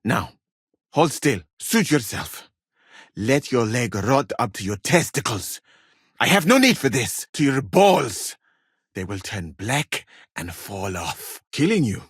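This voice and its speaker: A man's voice: mid-range voice, very animated, breathy edge, dry recording, clean studio sound, exaggerated voice. A man playing a proud, exacting medieval physician in a video game, speaking formally and precisely. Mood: exasperated, stern. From Mali. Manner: formal, measured, precise wording. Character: proud, exacting foreign male physician in a medieval war camp. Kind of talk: dramatic video game dialogue.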